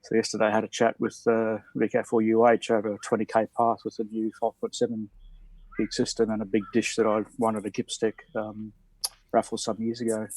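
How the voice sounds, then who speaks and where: Australian guy on a video call, even flat delivery, rambling and self-deprecating, dry close sound. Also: lowish voice, fairly quick, no room sound, rough recording. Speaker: ham radio net participant.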